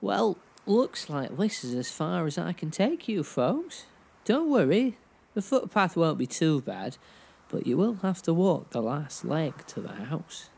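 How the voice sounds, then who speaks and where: A man's voice: on the high side, big pitch swings, measured pace, creaky, a little mellow, breathy edge, full character voice. An actor playing a portly, chatty male dingo cab driver in an audio drama, rambling and grumbling in a folksy way. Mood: chatty, matter-of-fact.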